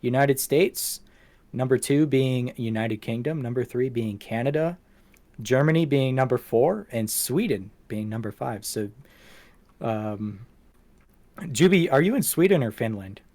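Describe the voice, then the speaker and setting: A man's voice: mid-range voice, expressive, a little slow, darkish tone. A man hosting a casual podcast, talking through things in a rambling, self-deprecating way. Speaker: podcast host. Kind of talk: casual podcast chat, going through show stats.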